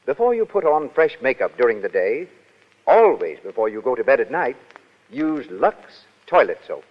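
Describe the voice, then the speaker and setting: A man's voice: medium pitch, very animated, moderate pace, slight background noise. A man reading advertising copy as a 1930s radio announcer. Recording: muffled 1930s radio broadcast, hall reverb.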